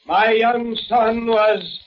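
An actor playing a middle-aged city mayor who speaks in a formal, measured way, in 1940s radio acting. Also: male voice, very high-pitched, fairly even intonation, over a noisy crowd, heavily acted. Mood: solemn. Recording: muffled old radio recording.

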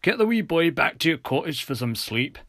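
A man voicing a kindly, brisk police officer with a reassuring manner in an audiobook story. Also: a little high, very animated, a bit bright, dry recording, clean studio sound, pitched above the performer's own voice. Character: kindly, straight-talking Scottish police officer.

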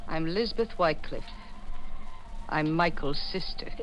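An actress playing a bitter, long-imprisoned woman, speaking gravely and dramatically in a 1940s radio thriller. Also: sits low, slow. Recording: noisy 1940s radio recording.

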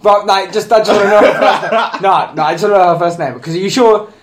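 A man, hosting a casual banter chat and teasing his guest in a jokey, animated way. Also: sits high, fast. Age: 20s. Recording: echoey room.

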